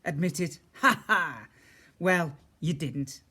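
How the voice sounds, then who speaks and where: A man's voice: fairly high pitch, slow, sharp tone, breathy edge. A man reading a children's story aloud as a teacher would, expressively, with chatty asides to his young listeners.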